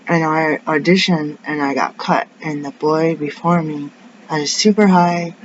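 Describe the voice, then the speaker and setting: A woman with a raspy, deep voice venting casually in a rambling, tangent-prone vlog. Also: fairly even intonation, a little slow. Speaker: vlogger. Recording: dull lo-fi sound with faint noise.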